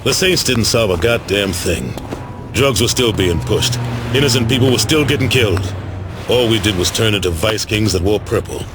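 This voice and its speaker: Brief: male actor as weary, indignant ex-gang leader for a game cutscene; lowish voice, very varied intonation. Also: expressive, moderate pace, noisy background, lightly acted. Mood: bitter, exasperated. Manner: streetwise, exasperated, argumentative. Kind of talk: video game cutscene acting.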